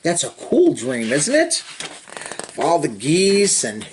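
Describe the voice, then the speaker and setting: A man reads a children's picture book aloud at a very slow pace. His pitch swings widely in a sing-song, expressive delivery, with drawn-out phrases and casual little asides to the young listener. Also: a little hiss or hum. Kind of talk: animated storybook read-aloud with chatty asides.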